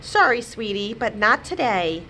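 Woman reading as a sweet grandma who drones like a zombie, bright voice. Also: fairly high pitch, big pitch swings, a little slow, a little hiss or hum, voice pushed up, full character voice. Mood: gentle.

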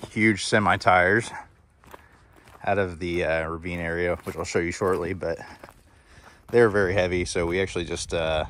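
A man in his thirties casually narrating outdoor farm work to a phone camera, with plenty of fillers. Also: sits low. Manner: relaxed, filler-heavy explaining. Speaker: vlogger. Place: outdoors on a rural property. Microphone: phone camera held at arm's length. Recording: outdoor noise, machinery nearby.

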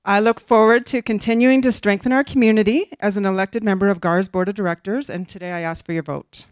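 A woman's voice: mid-range voice, steady tone, fast, clean tone, no echo, clean studio sound. A middle-aged woman with a Canadian accent reading a prepared campaign speech into a handheld mic at a podium, earnest with the odd filler. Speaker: candidate for a board seat. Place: conference room, in front of a backdrop.

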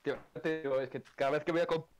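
A man's voice: a little high, steady tone, racing. A young man with a Galician accent, grumbling and swearing casually among friends in game chat. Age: young adult. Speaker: gamer in a streamed voice chat. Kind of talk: casual banter while gaming.

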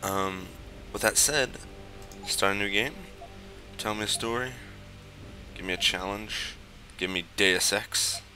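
A man's voice: lowish voice, slow pace, bright, forceful tone, faint music behind. A man giving casual, offhand, profane let's play commentary on a video game. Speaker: gamer commentating a playthrough.

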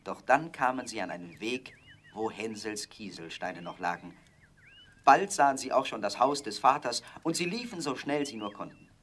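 Male storyteller, children's fairy tale, dramatic and measured, forceful bright tone, noise behind him. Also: fairly low pitch, expressive. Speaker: narrator.

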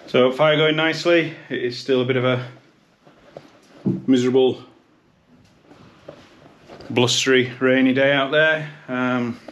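A man's voice: mid-range voice, steady tone, a little slow, pauses often, a bit bright, highs cut off, slight background noise. A British man giving a casual, matter-of-fact running commentary as a pub landlord in a behind-the-scenes vlog. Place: inside a pub and its cellar.

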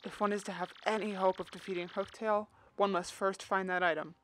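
A woman's voice: mid-range voice, fairly quick, slight background noise, natural voice. A woman voicing a timid, earnest young male turtle in a fan dub of a video game, reading a letter and yelping in panic. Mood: solemn reading. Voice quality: earnest, excitable, gets loud when startled. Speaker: fan voice actor.

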